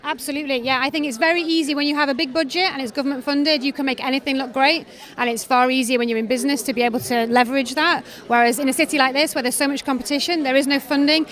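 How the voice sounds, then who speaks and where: A woman with a Welsh accent, a creative director, answering interview questions backstage at a fashion show in a brisk, confident and articulate way. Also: high voice, fairly even intonation, a bit bright. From Wales.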